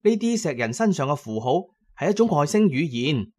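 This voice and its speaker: A man's voice: a little high, very animated, moderate pace, darkish tone. A man with a Cantonese accent voicing an eager, imaginative young student in an audio story. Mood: eager, curious.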